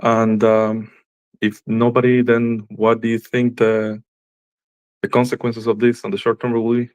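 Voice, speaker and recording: A man's voice: medium pitch, monotone, unhurried, darkish tone, clear, resonant tone, poor audio. A man calling into a live chat and steadily asking a question. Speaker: caller.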